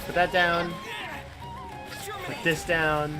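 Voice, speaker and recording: A man's voice: a little high, flat delivery, takes it slowly, over music. A young man streaming a video game live, commenting in a wry, offhand, joking way.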